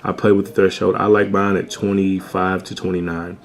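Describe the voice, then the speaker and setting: A young man with a bassy voice, giving a laid-back, slangy home-studio tutorial. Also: level tone, brisk, vocal fry. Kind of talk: casual tutorial talk.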